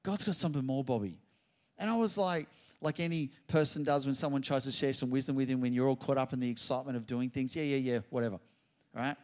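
A middle-aged man preaching a sermon, telling a story and building to loud exclamations. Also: medium pitch, quick pace. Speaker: pastor.

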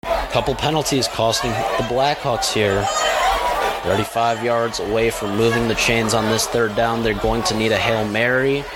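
A teenage American man calling high school football play-by-play on the radio, excitable and breaking into shouts on big plays. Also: normal speed. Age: teens. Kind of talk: live sports play-by-play commentary. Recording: very noisy live stadium crowd broadcast. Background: loud crowd and stadium ambience.

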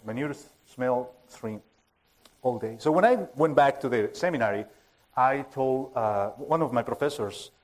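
A man with a Spanish accent, Spanish as his first language, preaching an informal sermon, halting and joking at his own expense with many fillers and restarts. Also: mid-range voice, lively intonation. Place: church hall with a full audience.

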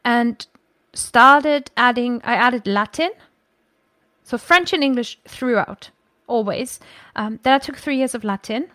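A woman's voice: on the high side, measured pace, pushed and bright, dry recording, studio quality. A woman, chatting as a podcast guest and thinking aloud with frequent self-corrections. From Germany. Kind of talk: casual conversational storytelling.

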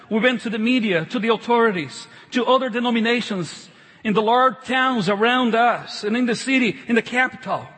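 A middle-aged man with a foreign accent, not a native English speaker, preaches a sermon as a pastor in an emphatic, halting way, repeating phrases. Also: sits high, a bit bright, husky. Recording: reverberant large hall.

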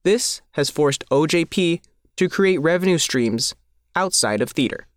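High-pitched, animated man reading news. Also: measured pace, no room sound, clean studio sound. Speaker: reporter reading their own article. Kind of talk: scripted feature narration.

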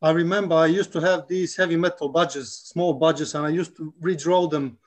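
A man in his forties from Bulgaria, speaking English, talking haltingly as a guest in a casual interview. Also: fairly high pitch, fairly even intonation. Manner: halting, with many restarts and fillers. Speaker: guest, 3D artist.

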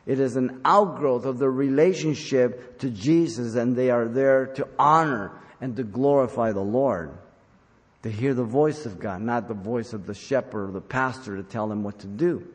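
An older man delivers a sermon to his congregation in an earnest, exhorting manner. He speaks at a medium pitch and repeats phrases to drive them home. His voice rings with the long reverb of a large hall. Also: moderate pace. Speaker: pastor preaching to his congregation. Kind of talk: sermon with scripture reading.

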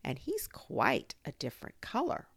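A woman voicing a calm young boy in a storybook, making considered, matter-of-fact pronouncements with gentle teasing. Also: a bass voice, measured pace, gravelly, breathy edge, dry recording, full character voice.

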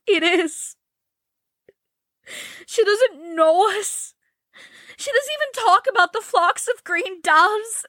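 A woman's voice: high-pitched, fairly bright tone, dry recording, clean studio sound, voice pushed up, full character voice. A woman voicing Jo, a distraught teenage girl who is tearful and breaking into sobs.

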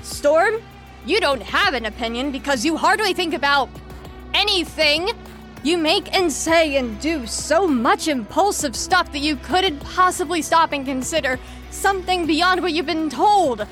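Sardonic young female lesson leader played by a woman, audio drama, higher voice over music, weary and lecturing. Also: lively intonation, unhurried, fairly bright tone. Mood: exasperated outburst.

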